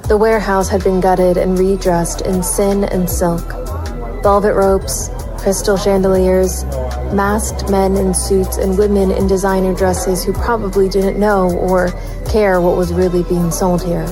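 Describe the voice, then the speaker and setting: A woman's voice: medium pitch, level tone, unhurried, close to an everyday voice. An actress playing a weary, resolute female private investigator, narrating a noir audio drama in clipped, sardonic phrases. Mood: brooding, grim. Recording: music bed behind the voice.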